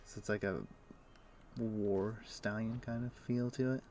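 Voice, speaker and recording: Low-voiced man chatting while gaming. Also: fairly even intonation, a little slow, a little mellow, a little hiss or hum. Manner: rambling explanations with fillers. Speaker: game streamer. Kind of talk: casual chat while playing.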